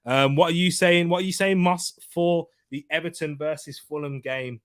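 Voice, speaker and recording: A man's voice: on the high side, fairly even intonation, moderate pace. A man hosting a casual football preview live stream, chatting loosely and reading out and joking with viewer comments. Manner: chatty, reads out viewer comments, jokey banter. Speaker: football preview show host.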